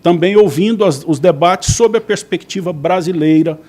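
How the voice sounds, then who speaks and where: A man's voice: high-pitched, normal speed, dark tone. A man moderating a panel, introducing a guest with courteous, formal words.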